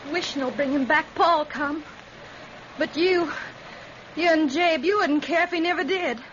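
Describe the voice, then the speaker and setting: High-voiced, anxious Southern farm girl. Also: a little slow, a bit bright. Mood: resentful. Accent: American rural Southern. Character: anxious, devoted teenage farm girl fretting over her father. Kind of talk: 1940s radio drama acting. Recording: muffled, noisy old radio recording.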